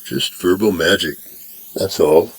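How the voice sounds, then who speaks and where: A man's voice: low-pitched, a little slow, no room sound, low-quality recording. A man voicing a young male American humorist in a read-aloud story, dry and quippy.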